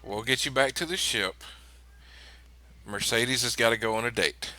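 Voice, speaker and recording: A man's voice: mid-range voice, moderate pace, pushed and bright, no room sound. A man bantering as a tabletop role-player, blunt, teasing and sweary. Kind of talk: casual in-character game banter.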